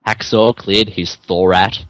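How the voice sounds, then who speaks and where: A man's voice: lowish voice, fairly even intonation, a little slow, a bit bright, no echo. A man narrating fan fiction for a comedy podcast, adding wry asides as he reads.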